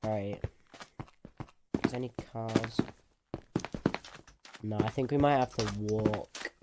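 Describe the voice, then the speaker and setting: A man's voice: lowish voice, fairly even intonation, takes it slowly. Young man on a very noisy mic. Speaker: role-play gamer. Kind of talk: improvised in-game role-play, casual.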